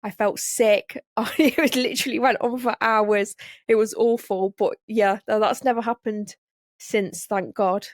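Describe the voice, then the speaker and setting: A woman's voice: medium pitch, fairly even intonation, unhurried, no room sound, studio quality. A woman co-hosting a podcast, chatting playfully and asking questions, with frequent giggles. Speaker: podcast co-host. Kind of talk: casual chat.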